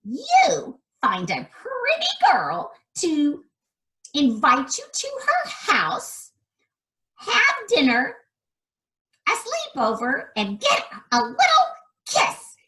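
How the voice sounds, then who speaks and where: Screechy grumpy witch, super high and cackly, swoops all over. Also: huge pitch swings, slow, fairly bright tone, full character voice, rough recording. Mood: gloating. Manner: shrill, cackling, spiteful.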